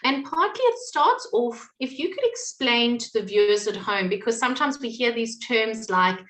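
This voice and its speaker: Bright, higher woman hosting. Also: animated, normal speed, poor audio. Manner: friendly, leading questions. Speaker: host. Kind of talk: interview hosting.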